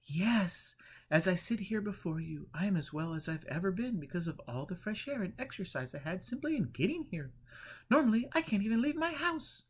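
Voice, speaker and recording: A man reading an audiobook, voicing a high-strung, self-effacing woman in her early thirties with a fretful, apologetic manner. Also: expressive, moderate pace, husky. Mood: fearful, distressed. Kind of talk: audiobook character voice.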